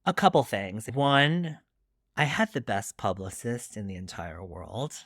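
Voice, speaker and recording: A man's voice: on the low side, expressive, measured pace, a bit bright, no room sound, clean studio sound. A middle-aged man from Long Island, New York, speaking candidly and reflectively as a guest in an interview, often adding asides. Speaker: guest author.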